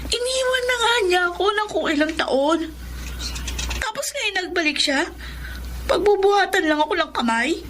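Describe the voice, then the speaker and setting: Wounded, defiant Filipino teen girl, plaintive radio-drama delivery, very high voice, faint ambient noise. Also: animated, normal speed. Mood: hurt, sullen. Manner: plaintive, emotional. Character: hurt, defiant pregnant eighteen-year-old daughter. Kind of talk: Tagalog radio drama acting.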